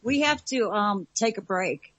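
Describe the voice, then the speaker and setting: A woman's voice: medium pitch, lively intonation, unhurried, fairly bright tone, dry recording, rough recording. A woman hosting a live internet radio talk show, reading station announcements and handing over to guests.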